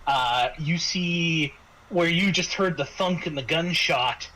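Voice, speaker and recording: Male game master, slightly high bright voice, slow and halting improvised narration, faint noise behind. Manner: halting, repeats words while narrating. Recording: noisy home microphone.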